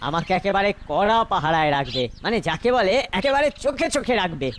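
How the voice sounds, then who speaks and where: A woman's voice: medium pitch, sing-song, fast, slight background noise, lightly acted. A woman voicing a crafty, food-loving boy in a children's cartoon, dramatic and playful with rhymes. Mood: self-satisfied. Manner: dramatic, rhyming wordplay.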